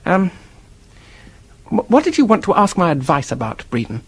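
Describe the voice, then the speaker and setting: An actor playing an earnest, defensive office worker in a radio mystery, husky-voiced, hesitant and blurting, with a temper underneath. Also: male voice, high voice, huge pitch swings, moderate pace, dry recording, heavily acted. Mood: uneasy. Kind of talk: radio drama acting, detective mystery.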